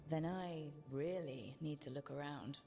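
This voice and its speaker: A woman's voice: deep voice, a little slow, vocal fry, mellow, soft background music. A woman playing a dry-witted, determined heroine in a fantasy video game. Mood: earnest. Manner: sarcastic, earnest.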